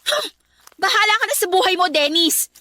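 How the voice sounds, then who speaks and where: A woman's voice: very high-pitched, big pitch swings, a little fast, bright, forceful tone, no room sound, heavily acted. A young woman voicing a shy, naive heroine in a radio love story, earnest and easily flustered. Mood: indignant. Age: young adult. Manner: earnest, flustered, then indignant. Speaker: heroine Abby. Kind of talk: radio drama acting.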